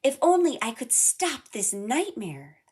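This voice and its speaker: Storybook little cricket played by a woman: earnest, doubting, expressive, very animated intonation. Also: on the high side, measured pace, slightly rough, voice pushed up, full character voice. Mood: distressed. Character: small, earnest, doubting young cricket with shifting shapes.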